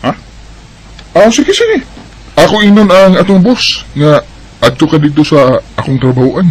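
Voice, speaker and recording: A man plays a helpful Bisaya workmate in an amateur radio drama. His voice is fairly high and very animated in its rise and fall. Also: measured pace, rough recording. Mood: friendly, helpful. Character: helpful Bisaya male friend working at a resort.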